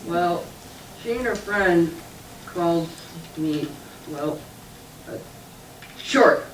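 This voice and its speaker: A woman's voice: lowish voice, very slow, distant mic. A woman doing a sulky little boy puppet. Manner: whiny and sulky, cries in bursts. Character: small sulky boy puppet hiding up a tree. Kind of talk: church puppet show acting. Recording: echoey room picked up from afar, faint noise.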